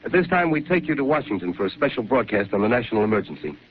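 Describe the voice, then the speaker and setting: An actor playing a grave radio announcer reading urgent bulletins in a 1930s radio drama. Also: male voice, on the low side, sing-song. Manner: rapid, urgent news delivery. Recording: tinny 1930s radio broadcast with hiss.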